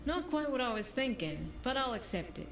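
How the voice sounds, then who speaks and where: Actress as a wry, bawdy fantasy adventuress, roomy sound. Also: female voice, sits high, very animated, brisk. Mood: amused, playful. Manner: teasing, playful banter. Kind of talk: video game companion dialogue. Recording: roomy game audio, music behind.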